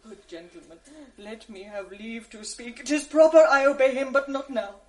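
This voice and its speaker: A woman's voice: a little high, moderate pace, lightly acted. An actress playing Emilia, a bold lady's maid, who accuses and cries out with fierce outrage in a 1980s radio drama. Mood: accusing.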